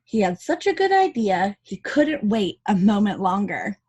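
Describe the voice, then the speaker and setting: A woman reading a picture book aloud to young children as their teacher, with chatty asides and expressive dialogue. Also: mid-range voice, measured pace, slightly rough.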